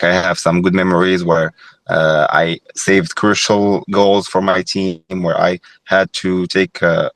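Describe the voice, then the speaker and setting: Young man from Morocco, very low voice, dry close sound, hesitant, reflective. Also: no echo. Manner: thoughtful, hesitant, many fillers and restarts. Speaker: former goalkeeper, guest. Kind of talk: reflective podcast interview.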